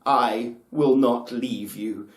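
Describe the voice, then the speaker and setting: A man's voice: medium pitch, fairly even intonation, slow pace, natural voice. A man playing a devoted, heartbroken young son in a folktale, speaking in an impassioned, declamatory way. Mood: anguished devotion. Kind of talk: dramatic folktale storytelling. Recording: roomy, echoing space.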